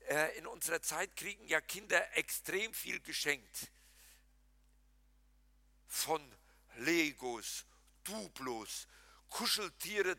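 A pastor preaching a lively sermon, talking straight to his congregation and mixing in jokes. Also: a little high, no echo. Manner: animated, talks to the congregation directly, jokes and laughs.

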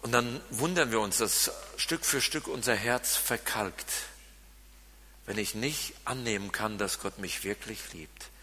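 A man with a Siegerland German accent preaching a sermon, swinging from loud emphasis to quiet asides. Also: fairly low pitch, measured pace, breathy edge. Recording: long reverb of a large hall.

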